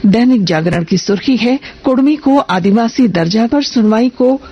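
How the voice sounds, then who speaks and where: A woman reading a scripted radio news bulletin in a formal, measured manner. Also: on the high side, expressive, lo-fi sound.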